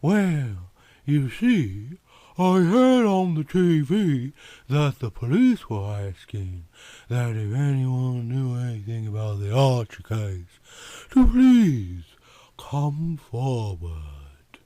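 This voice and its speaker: A man's voice: mid-range voice, very animated, takes it slowly, dark tone, dry recording. A man reading a story aloud, voicing a flirtatious red-haired woman of about thirty-five with a Southern US drawl. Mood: coy. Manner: coy, drawling.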